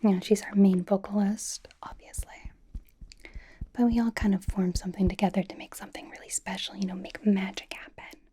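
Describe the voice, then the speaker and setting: A woman playing a bubbly, nervous young K-pop idol in an ASMR roleplay, whispering softly in a chatty, rambling, reassuring way. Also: mid-range voice, steady tone, a little fast, lightly acted. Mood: bubbly, awkward. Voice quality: soft, breathy whisper.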